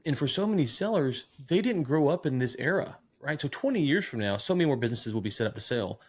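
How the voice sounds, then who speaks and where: A man's voice: mid-range voice, expressive, fast, husky. A man in his late thirties talking conversationally as a business podcast host, animatedly acting out what other people say. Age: late 30s.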